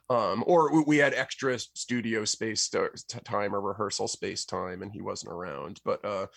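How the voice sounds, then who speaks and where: A man chatting casually as a podcast guest about his work as a musician, in a rambling, halting way that stumbles over words. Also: on the low side, creaky, fairly bright tone, no room sound, clean studio sound. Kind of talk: casual chat about own projects.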